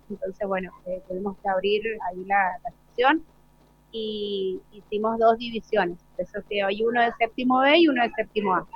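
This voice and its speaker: A woman with an Argentine accent, a schoolteacher speaking hesitantly in an unscripted live interview. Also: medium pitch. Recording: dull lo-fi live broadcast sound.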